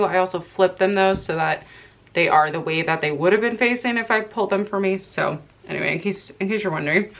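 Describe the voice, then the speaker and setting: A woman's voice: medium pitch, a bit bright. A woman giving a casual tarot card reading to camera, stuffy from allergies, who meanders and searches for words as she talks.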